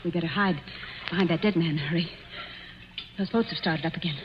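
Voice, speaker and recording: An actress playing a loyal young secretary who pleads earnestly in a 1940s radio drama. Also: female voice, lowish voice, fairly quick, lightly acted. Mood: anxious. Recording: muffled 1940s radio broadcast with faint hiss.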